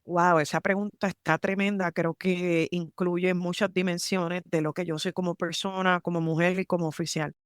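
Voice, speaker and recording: A woman from Puerto Rico with a Puerto Rican accent, telling her story in a lively interview and mixing English terms into her Spanish. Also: fairly low pitch, normal speed, hardly any pauses, no room sound, clean studio sound. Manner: animated, emphatic, mixes English terms into Spanish. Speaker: army lawyer, guest.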